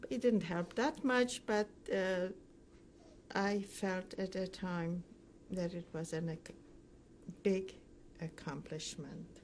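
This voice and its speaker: An older woman recounting her memories as a survivor in an oral history interview, speaking haltingly with frequent pauses mid-sentence. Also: lowish voice, steady tone, darkish tone, slight background noise. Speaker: Holocaust survivor giving testimony.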